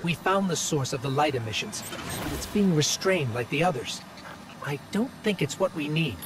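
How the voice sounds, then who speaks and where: Man voicing an earnest high-pitched robot companion. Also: expressive, normal speed, background noise, natural voice. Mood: troubled. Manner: precise, analytical, earnest. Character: earnest, anxious small robot companion, male. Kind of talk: scripted video game dialogue.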